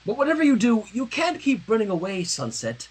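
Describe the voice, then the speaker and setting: A man's voice: sits high, huge pitch swings, brisk, husky, pitched above the performer's own voice, full character voice. A man voicing a refined, opinionated teenage girl in a cartoon fan story, firm, fussy, wordy and formal.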